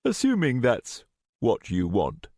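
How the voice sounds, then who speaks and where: A man's voice: mid-range voice, huge pitch swings, takes it slowly, darkish tone, no echo, clean studio sound, voice pushed up, exaggerated voice. A man voicing a coolly calculating male villain in an audiobook, with formal, unruffled, conversational phrasing. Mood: smooth formality.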